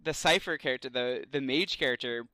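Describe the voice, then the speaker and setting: A man co-hosting a podcast, talking casually and excitably like a gushing fan. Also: mid-range voice, a little fast, no room sound. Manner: gushing, excitable geek talk. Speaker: podcast co-host.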